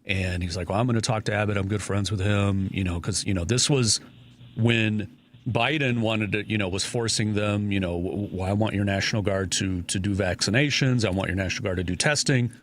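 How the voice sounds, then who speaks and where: A man with a fairly low voice hosts a radio talk show. He speaks casually, piling up fillers and false starts as he thinks aloud. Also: a little fast, slight background noise. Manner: conversational, many fillers and restarts.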